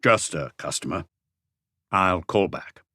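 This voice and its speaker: A man's voice: low voice, expressive, slow, sharp tone, slightly rough, no echo, studio quality, full character voice. A man voicing a reserved, evasive middle-aged police detective in an audiobook, understated and non-committal.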